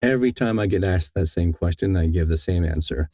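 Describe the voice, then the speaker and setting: A man giving thoughtful interview answers in a halting, deliberate way, often repeating words as he thinks. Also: low-pitched, warm tone, dry recording. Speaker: audiobook narrator, interview guest.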